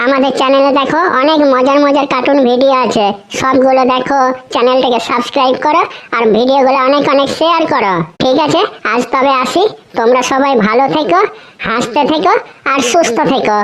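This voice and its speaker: A woman's voice: high voice, fairly quick, lightly acted. A woman voicing an overconfident schoolboy in a comedy cartoon, with a sing-song, recited delivery. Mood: chatty, pleading. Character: cheeky, overconfident schoolboy reciting absurd crocodile essays.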